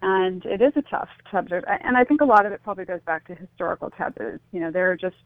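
A woman's voice: on the low side, fast talker, no room sound. A woman, speaking reflectively and tentatively as an interview guest over a phone-like line. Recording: thin, phone-like call-in line.

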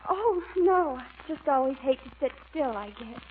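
Very high-pitched flighty girl-about-town. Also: expressive, normal speed, slight background noise. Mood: restless, gushing. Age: young adult. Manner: chattery, gushing, scatterbrained. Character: restless, popular, flighty young woman on a date. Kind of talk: old-time radio comedy acting. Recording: tinny old radio recording, music behind.

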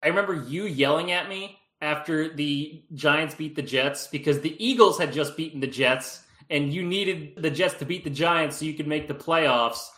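A man's voice: fairly high pitch, normal speed, hardly any pauses, pushed and bright, roomy sound. A man in a casual podcast chat who is wry and self-deprecating. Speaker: podcast co-host.

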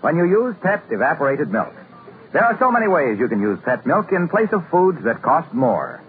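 A man's voice: fairly high pitch, sing-song, moderate pace. A man announcing for a sponsor in the style of 1950s radio. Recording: tinny, noisy old radio recording.

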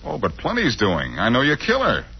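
An actor playing a cocky, quick-witted amateur sleuth who needles people, in 1940s radio style on a muffled old recording. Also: male voice, medium pitch, huge pitch swings, full character voice. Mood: needling. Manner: smooth-talking, sardonic, teasing.

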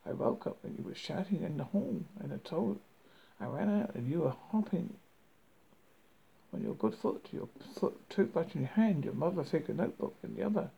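A man with a husky, creaky voice reading a first-person story dramatically, haltingly and stumbling over words as a horror host. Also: on the high side, sing-song, lo-fi sound.

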